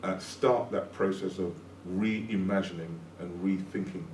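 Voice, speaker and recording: A man's voice: low voice, fairly even intonation, measured pace, darkish tone, a little hiss or hum. Reflective British-born man, roomy distant sound. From Britain. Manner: reflective, repeats words while thinking aloud. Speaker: academic host. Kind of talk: conversational discussion. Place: room at a public talk event. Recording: roomy, distant mic, faint ambience.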